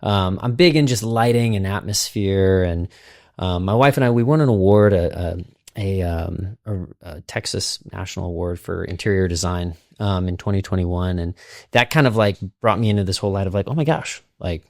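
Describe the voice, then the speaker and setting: A man's voice: low voice, normal speed, darkish tone, no room sound, studio quality. A man guest talking in an easygoing way about his design work, with many fillers. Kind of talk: casual podcast conversation.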